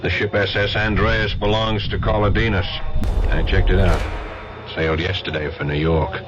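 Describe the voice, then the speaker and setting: A man's voice: low voice, flat delivery, moderate pace, natural voice. A man playing an American investigator, stern and disillusioned in love, in a radio mystery drama. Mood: stern, bitter. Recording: dull old radio recording, loud music behind.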